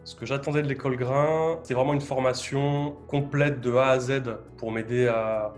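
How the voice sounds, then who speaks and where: A man's voice: medium pitch, fairly even intonation, normal speed. A man in his thirties giving an unscripted testimonial as a student. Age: 30s. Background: background music.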